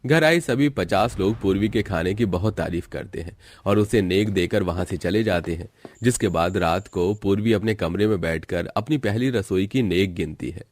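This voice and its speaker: A man reading scripted storytelling narration for an animated family tale. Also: deep voice, normal speed, faint music behind.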